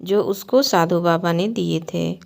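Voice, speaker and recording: A woman's voice: lowish voice, unhurried, vocal fry. A woman narrating a scripted cartoon bedtime story as the storyteller.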